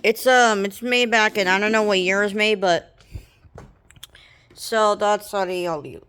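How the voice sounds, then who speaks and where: A woman giving a casual, rambling, halting show-and-tell of gear as a hobbyist presenter. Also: mid-range voice, a bit bright, clean tone. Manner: halting, rambling, improvised delivery. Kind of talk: casual product showcase. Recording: noisy room with clicking handling sounds. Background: noise, clicks, radio broadcasts.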